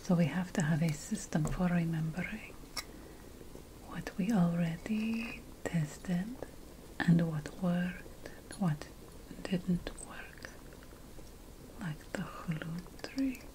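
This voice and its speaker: A woman playing an ancient witch priestess, a patient and lofty potion scholar, in a hushed, deliberate ASMR fantasy roleplay. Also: lowish voice, background noise. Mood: hushed, marveling. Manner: hushed, deliberate, lingering over lists.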